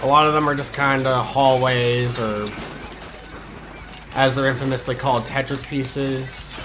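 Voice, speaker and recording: A man's voice: medium pitch, steady tone, a little slow, noisy background. Stuffy-nosed man chatting over gameplay. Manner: laid-back, hesitant, lots of ums and restarts. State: stuffy and congested from a cold. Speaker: gaming channel host. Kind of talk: casual gameplay commentary.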